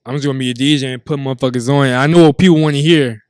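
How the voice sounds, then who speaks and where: A man's voice: medium pitch, steady tone, racing, a little mellow, clean tone, dry recording, clean studio sound. A man guest who DJs, talking casually on a podcast in an emphatic, profane, repetitive way.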